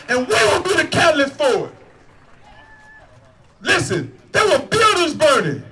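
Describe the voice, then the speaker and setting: A man running for office, giving a fiery shouted protest speech at a rally with a repetitive, preacher-like cadence. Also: extremely high pitch, rough recording. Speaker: political candidate at a rally.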